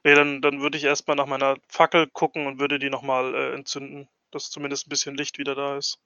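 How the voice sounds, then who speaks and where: A man's voice: medium pitch, completely flat delivery, fast, pushed and bright, clean tone, no echo. A man chatting casually as a player in a tabletop role-playing game, on a slightly dull recording.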